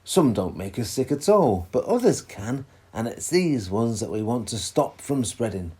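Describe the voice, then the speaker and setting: A man playing a patient, reassuring father who explains things in a children's storybook, with a husky voice. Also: medium pitch, very animated, moderate pace, warm tone. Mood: patient, explaining. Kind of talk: read-aloud children's storybook dialogue.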